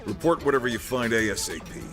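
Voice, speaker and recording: An actor playing a sardonic, folksy military commander in a video game cutscene. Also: male voice, medium pitch, fast talker, music in the background, lightly acted. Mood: businesslike. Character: gruff, wisecracking male military commander. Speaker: commanding officer briefing recruits.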